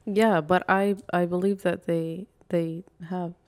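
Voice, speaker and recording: A woman's voice: lowish voice, little pitch movement, takes it slowly, a little mellow, clean tone. A woman from Iraq co-hosting a podcast, chatting casually with blunt, teasing banter. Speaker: podcast co-host. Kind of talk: casual chat.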